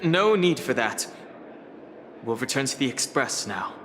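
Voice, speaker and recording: A man's voice: medium pitch, expressive, unhurried, background noise, natural voice. A man voicing a level-headed young man who speaks in a terse, matter-of-fact way. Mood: measured. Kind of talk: video game voice acting.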